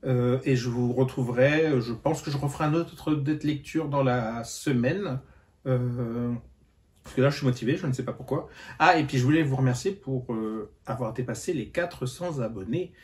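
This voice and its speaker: A tired man giving a relaxed reading update to his viewers, with a voice of ordinary pitch. He talks hesitantly, pausing often on fillers and restarting his sentences. The whole talk has a loose, casual vlog feel. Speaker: book vlogger.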